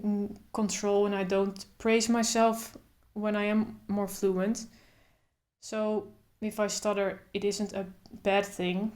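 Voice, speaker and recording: A young woman who speaks openly with a stutter, repeating first sounds and pausing in blocks, talking thoughtfully to camera in short phrases. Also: medium pitch, a little mellow. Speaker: vlogger. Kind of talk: casual talk to camera.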